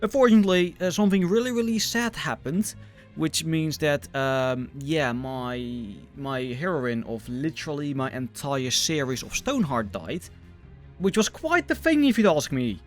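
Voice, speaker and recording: A man's voice: a little high, big pitch swings, normal speed. A young man from the Netherlands with a Dutch accent, giving casual, enthusiastic and self-deprecating gaming commentary as a video host. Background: music.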